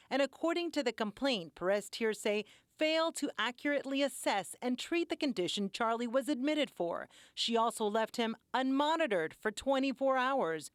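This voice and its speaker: Higher-voiced woman reporting TV news. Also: dry recording, clean studio sound. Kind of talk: scripted news report narration.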